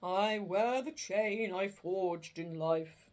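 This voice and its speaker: A woman voicing a doomed, chained male ghost, speaking slowly and solemnly in a storybook reading. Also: darkish tone, natural voice. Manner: slow, booming and drawn out.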